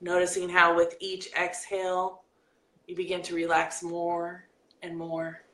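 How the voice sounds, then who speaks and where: A woman hosting a live coaching video, reading viewer comments and leading a calm guided breathing exercise. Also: fairly low pitch, reverberant, low-quality recording. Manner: lively, reads viewer comments aloud, turns soft and slow for the breathing exercise.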